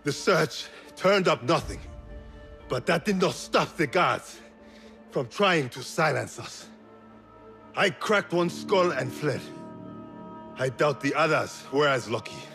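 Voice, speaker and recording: An actor playing a tough, grim and defiant rebel fighter in a video game, speaking tersely and bluntly. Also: male voice, fairly high pitch, lively intonation, takes it slowly, long pauses, fairly bright tone, soft background music, full character voice. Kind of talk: video game cutscene acting.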